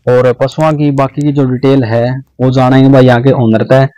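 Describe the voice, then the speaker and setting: A man's voice: medium pitch, level tone, moderate pace, dark tone, no echo, low-quality recording. A young man with a Haryanvi accent, hosting a casual outdoor interview in a village animal yard and repeating each answer back. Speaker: livestock video host.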